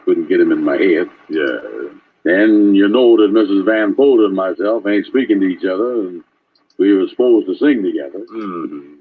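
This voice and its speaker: An actor playing a sheepish, nervous man in an old radio comedy, halting and folksy, on a tinny vintage recording. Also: low voice, breathy edge. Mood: sheepish, hesitant. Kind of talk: 1930s-40s radio comedy acting.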